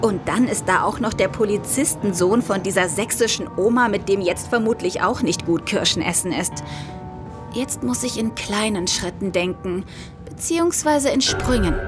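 A woman's voice: medium pitch, lightly acted. An actress playing a cunning, nervous female art thief who keeps up a polite front in an audio drama. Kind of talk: German audio drama acting with inner monologue.

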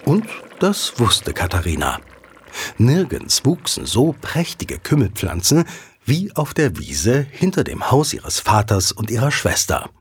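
A man's voice: medium pitch, wildly swooping pitch, measured pace, slightly rough. A man narrating a scripted audio drama as a storyteller.

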